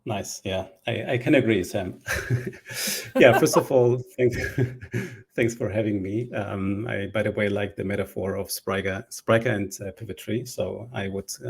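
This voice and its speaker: A man in his forties with a low, gravelly, husky voice. He talks in a relaxed, halting way full of fillers, joining from a video call. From Germany. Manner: hesitant, many fillers. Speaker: solution engineering lead. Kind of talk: casual self-introduction.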